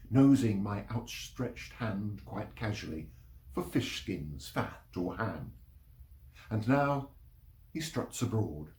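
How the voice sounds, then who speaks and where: Male literary reader, unhurried, widely varied intonation, roomy acoustic. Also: lowish voice. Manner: measured, expressive storytelling delivery. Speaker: reader of poems and short stories. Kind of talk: literary reading aloud, performed narration. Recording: roomy, echoing sound.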